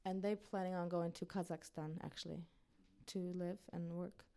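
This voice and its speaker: A woman's voice: lowish voice, fairly even intonation, moderate pace, creaky, warm tone. A woman from Uzbekistan, a missionary, talking earnestly and casually to a church group with many fillers. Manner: earnest, chatty, fillers like "you know".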